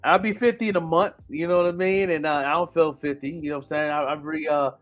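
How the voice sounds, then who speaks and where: A man's voice: fairly high pitch, fairly even intonation, quick pace, highs cut off, faint music behind. A man in his late forties talking through a casual podcast chat, rambling with lots of restarts and fillers. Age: 40s. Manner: rambling, many restarts and "you know what I'm saying". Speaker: podcast host.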